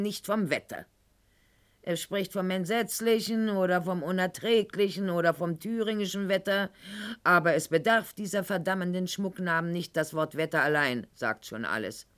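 A woman's voice: lowish voice, lightly acted. An actress playing a proud, bitter, sickly aristocratic lady in a theatrical radio monologue, with mocking, precise, formal diction.

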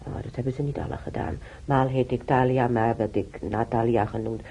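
An actress playing a kindly, resigned elderly woman in hospital in a radio play, musing quietly to herself. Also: very deep voice, steady tone, fairly quick, slightly rough, heavily acted. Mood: kindly reminiscing. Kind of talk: Dutch radio play acting. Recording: noisy radio drama recording.

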